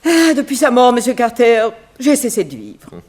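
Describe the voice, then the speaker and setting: An actress playing a wealthy, talkative, scatterbrained middle-aged widow in a theatrical, grand and fussy manner, in 1960s French radio drama style. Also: female voice, fairly high pitch, wildly swooping pitch, normal speed, darkish tone. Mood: flustered, dramatic. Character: wealthy, talkative, scatterbrained American banker's widow and society aunt.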